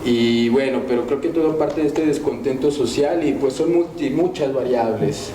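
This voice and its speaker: A man's voice: medium pitch, moderate pace, warm tone. A man, a panelist who answers hesitantly, with many fillers. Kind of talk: debate answer. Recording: echoey studio with faint noise.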